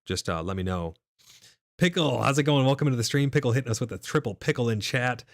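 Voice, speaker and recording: A man's voice: mid-range voice, expressive, fast talker, no echo, studio quality. A man hosting a live gaming stream, reading chat aloud and answering viewers casually, his voice a little nasal and stuffed up. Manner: casual, reads chat messages aloud and replies. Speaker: livestream host.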